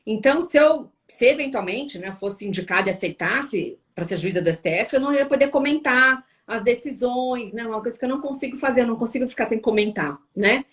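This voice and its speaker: A woman's voice: fairly high pitch, fairly bright tone. A tired woman from Brazil hosting a casual live stream, answering viewers with lots of tag questions. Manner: chatty, frequent tag questions.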